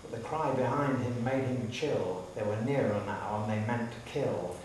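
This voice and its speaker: A man's voice: medium pitch, moderate pace, a little mellow. A man giving a dramatic recital of narrative poetry in a hall. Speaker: poetry reader. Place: public hall. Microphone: distant microphone. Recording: very echoey hall, distant mic, faint hiss.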